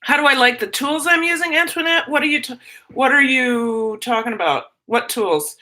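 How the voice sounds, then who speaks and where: A woman from Minnesota with her home accent, talking loosely and jumping between thoughts as she answers viewers live. Her voice sits a bit high and rings bright. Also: normal speed. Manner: chatty, rambling, self-interrupting. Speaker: teacher and livestream host. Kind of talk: casual livestream chat, reading viewer comments.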